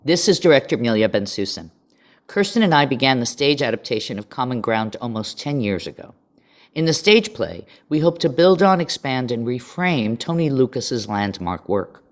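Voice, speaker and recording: A woman's voice: rumbling low, big pitch swings, moderate pace. A woman, a stage director, reading a scripted introduction to a podcast.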